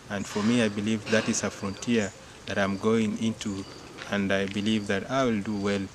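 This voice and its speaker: Young guy, jesuit novice, low-ish voice, talks slow and earnest, crickets chirping loud behind. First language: not English-speaking only; English speaker. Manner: earnest, measured, with frequent fillers. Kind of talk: reflective interview testimony. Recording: clear insect and cricket noise behind.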